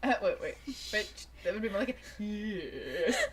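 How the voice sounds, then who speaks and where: A woman's voice: medium pitch, sing-song, measured pace, over a noisy crowd. A young woman bantering casually with friends in a playful, giggly manner. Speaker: host, fanfiction reader.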